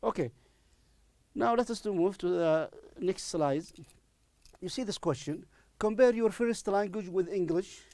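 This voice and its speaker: Middle aged guy teaching english, arabic accent, kinda high mellow voice, repeats stuff, super dry sound. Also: no echo. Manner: deliberate, repeats phrases for emphasis. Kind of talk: recorded classroom-style lesson. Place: indoor studio in front of a presentation screen. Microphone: lapel microphone.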